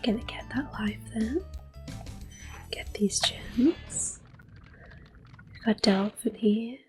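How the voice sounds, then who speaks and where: A woman talks through a video game in a slow, soft ASMR whisper. Her pitch stays in a middle range, and her delivery is unhurried and gentle. Faint game music plays underneath. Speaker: ASMR gaming host. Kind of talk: soft-spoken ASMR gameplay commentary.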